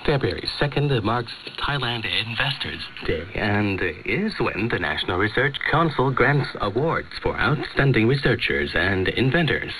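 A man's voice: medium pitch, big pitch swings, moderate pace, hardly any pauses, bright, forceful tone, a little hiss or hum, low-quality recording. A man presenting scripted radio news over a shortwave broadcast.

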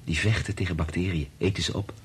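A man's voice: low-pitched, steady tone, fast talker, husky. An actor playing a kind, patient male scientist in a Dutch radio drama, reassuring and explanatory in manner. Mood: patient, explaining.

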